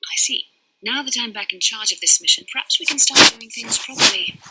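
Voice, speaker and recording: An actress playing a proud young Jedi commander in a video game, imperious, clipped and formal in manner. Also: female voice, fairly high pitch, brisk, heavy background noise, lightly acted. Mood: haughty, reproachful. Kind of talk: dramatic video game voice acting.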